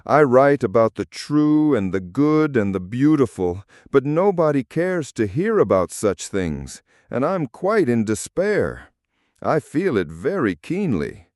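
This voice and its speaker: A man plays a gentle, naive learned man from a storybook, earnest and full of despair. The voice sits raised in pitch and moves expressively up and down, plaintive, in a dry recording. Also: huge pitch swings, slow pace, no room sound.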